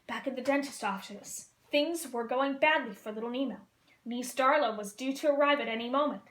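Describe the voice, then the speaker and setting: A woman reading a children's picture book aloud as a costumed storyteller, in a lively, expressive way. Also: high voice, fairly quick. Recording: roomy echo.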